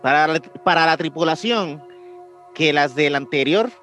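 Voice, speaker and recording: Mexican guy cohosting a podcast, laughs a lot, higher voice, light music in the back. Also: normal speed, fairly bright tone. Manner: laughs easily, reacts with "qué horror". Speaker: podcast co-host. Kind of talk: casual chat, partly reading the story.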